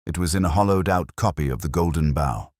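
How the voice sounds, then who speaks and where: A man narrating a first-person detective story in a measured, brooding way, with deliberate pauses. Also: low voice, darkish tone, no echo, studio quality.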